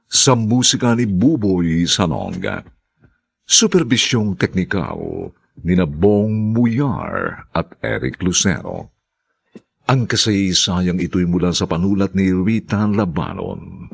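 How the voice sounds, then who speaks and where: A man's voice: sits low, creaky, slightly rough. A man announcing a radio drama, introducing the episode and reading out the credits in a formal, grand style. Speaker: program announcer.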